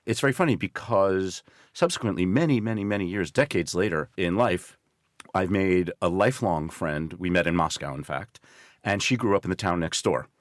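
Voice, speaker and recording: A man's voice: sits low, lively intonation, normal speed, no echo, clean studio sound. An American man in his sixties, an interview guest telling stories in an articulate, explanatory, conversational way. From northeastern United States. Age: 60s. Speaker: interview guest, journalist and risk consultant. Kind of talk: measured conversational storytelling.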